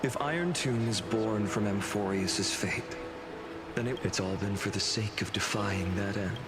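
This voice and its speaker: An actor voicing a solemn, gentle and resolute male hero in a video game, with measured, earnest delivery and dramatic pauses. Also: lowish voice, lightly acted. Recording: game audio under loud music.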